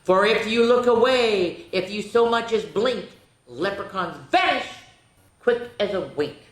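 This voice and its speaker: A woman voicing an earnest, bossy young girl from a storybook with hushed urgency. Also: mid-range voice, lively intonation, measured pace, voice pushed up, lightly acted. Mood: urgent warning. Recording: echoey, roomy sound.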